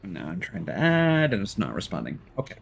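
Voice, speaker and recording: A man live streaming a game, thinking aloud in casual, halting, muttering talk with some swearing. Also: lowish voice, wildly swooping pitch, gravelly, dull audio, faint music behind.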